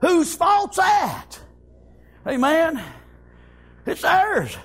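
Male revivalist preacher, Southern US accent, very high-pitched, fervent and loud, peppering phrases with exclamations of praise. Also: very animated, very slow. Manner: repetitive stop-and-go phrasing with frequent interjections. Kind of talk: impassioned revivalist sermon.

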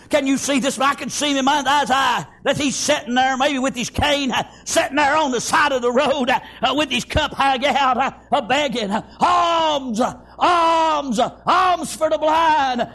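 Male revivalist preacher, Southern US accent, very high-pitched, fervent and loud, peppering phrases with exclamations of praise. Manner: repetitive stop-and-go phrasing with frequent interjections. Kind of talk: impassioned revivalist sermon.

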